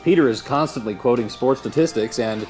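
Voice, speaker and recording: A man plays a proud suburban father in a nineties promotional video. His voice is mid-pitched, warm and mellow. Faint music sits behind it. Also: a little fast, lightly acted. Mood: proud, upbeat.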